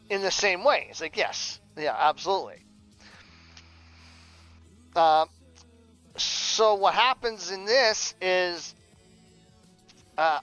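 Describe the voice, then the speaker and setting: A man with a high, smooth voice talks casually to a livestream. He rambles, circling back and repeating words as he goes. The sound is a little dull, with music playing behind him. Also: fairly bright tone. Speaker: streamer and audio drama writer.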